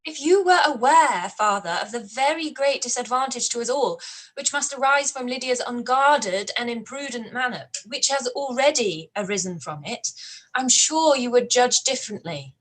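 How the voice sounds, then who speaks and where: A woman's voice: a little high, lively intonation, normal speed, rough recording. An actress playing an earnest, articulate young woman in a live-read radio play.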